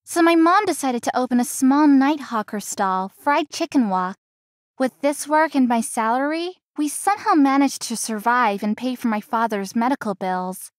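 A woman playing a gentle, dutiful young daughter in a visual novel. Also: sits high, lively intonation, moderate pace, no echo, studio quality. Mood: reflective. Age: young adult.

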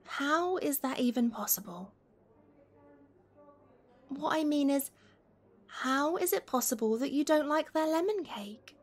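A woman playing a playful, affectionate young best friend in a gentle, teasing ASMR roleplay. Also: high voice, animated, unhurried, long pauses. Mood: playful disbelief. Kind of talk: gentle ASMR roleplay, one-sided conversation.

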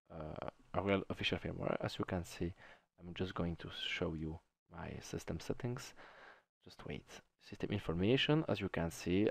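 A young man from Belgium whose first language is French, walking through a console tutorial in halting English with frequent restarts and self-corrections. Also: fairly low pitch, darkish tone, no room sound. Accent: French-speaking Belgian. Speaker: tech tutorial maker. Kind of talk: screen-recorded how-to walkthrough.

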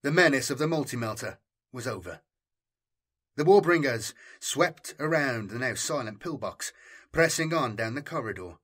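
A man's voice: medium pitch, lively intonation, normal speed, fairly bright tone. A man reading military science fiction as an audiobook narrator, in a scripted, dramatic storytelling style.

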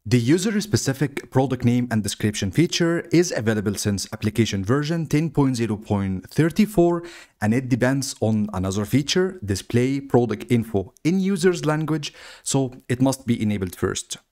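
A man whose first language is Arabic, narrating a step-by-step software tutorial in a steady, explanatory way. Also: mid-range voice, moderate pace. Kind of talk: screen-recorded software walkthrough.

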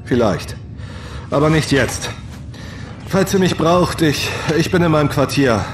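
An actor playing a tired, secretive male starship captain, measured and a little hesitant. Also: fairly high pitch, lively intonation. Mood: reserved, weary. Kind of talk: audio drama acting. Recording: roomy, music behind.

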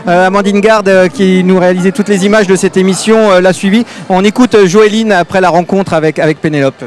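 A man's voice: high-pitched, steady tone, fairly quick. A man hosting a live talk segment, talking with many fillers and restarts into a handheld mic in a large indoor arena. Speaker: host. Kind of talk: live talk show interview. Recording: music and crowd noise behind.

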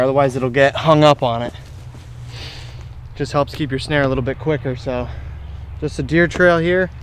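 Young man, outdoor vlog host, slightly high voice, laid-back slangy delivery, faint outdoor background noise. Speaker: trapper hosting an outdoor vlog. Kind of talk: casual vlog narration to camera. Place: outdoors by creeks and marshy fields. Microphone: camera-mounted shotgun mic.

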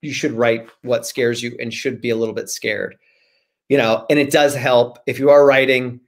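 A man in his forties, a guest author chatting casually on a video call, repeating phrases for emphasis in an animated way. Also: medium pitch, moderate pace. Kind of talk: casual interview chat. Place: small room at home, indoors. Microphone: video call, computer microphone.

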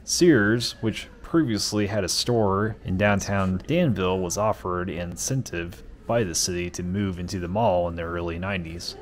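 A man reading a mall's history aloud and making quiet offhand remarks while walking through a large, empty indoor mall. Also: low voice, normal speed, hardly any pauses, a little hiss or hum. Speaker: mall explorer vlogger.

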